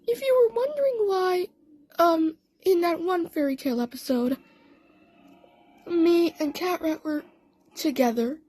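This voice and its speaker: A performer voicing a female cartoon cat scientist telling a story in a halting, rambling way, in a fan audio play. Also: extremely high pitch, a little mellow, low-quality recording. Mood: reminiscing, halting.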